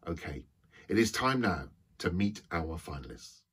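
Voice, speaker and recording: A middle-aged man co-hosting with a husky voice, giving scripted introductions over a webcam. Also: low voice, very animated, moderate pace, over music. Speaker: co-host. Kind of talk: scripted presenting.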